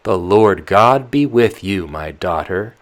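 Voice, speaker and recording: A man's voice: low-pitched, expressive, slow pace, pitched above the performer's own voice. A man voicing a fussy, devout old peasant nurse woman who exclaims fretfully.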